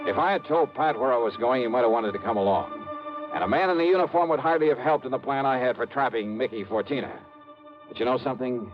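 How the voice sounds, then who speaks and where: An actor playing a hardboiled insurance investigator, narrating wryly in first person in a 1950s radio drama, on a dull old broadcast with music. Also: male voice, medium pitch, expressive, fast talker, close to an everyday voice. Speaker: lead and narrator.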